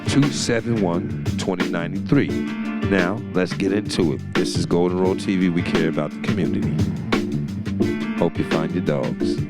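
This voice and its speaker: A man's voice: deep voice, steady tone, moderate pace. A man co-hosting a community show, reading out local notices in a laid-back, slangy way and greeting regulars on air. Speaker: co-host and DJ. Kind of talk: casual community announcements. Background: loud music.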